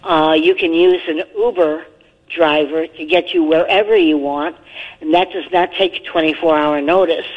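A woman's voice: low voice, steady tone, normal speed. A woman phones in to a call-in radio show to offer practical help. She sounds folksy and down to earth. Her line is dull and muffled, like an old phone call. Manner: folksy, gives practical advice. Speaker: caller.

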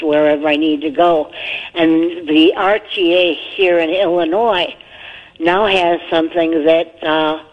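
A woman calling into a radio show and giving folksy practical advice over the phone. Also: sits low. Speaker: caller. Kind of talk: call-in radio chat. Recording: muffled phone-line call audio.

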